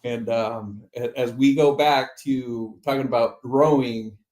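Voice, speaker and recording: A man's voice: medium pitch, steady tone, unhurried, a little mellow, echoey room. A man who is a guest on a casual live chat, easygoing and teasing, laughing along with the host.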